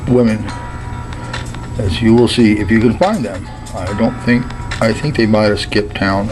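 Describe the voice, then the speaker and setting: A man giving a dramatized first-person testimonial, speaking solemnly in short, halting, emphatic phrases. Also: fairly low pitch, rough recording. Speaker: narrator telling his own family's case.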